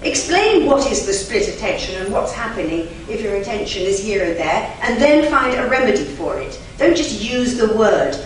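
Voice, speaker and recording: A woman's voice: fairly high pitch, moderate pace, hardly any pauses. Emphatic woman lecturing in an echoey hall. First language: German. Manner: emphatic, chatty, with lively asides. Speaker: conference interpreter and trainer. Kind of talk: lecture to a live audience. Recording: very echoey hall, from far off, faint noise.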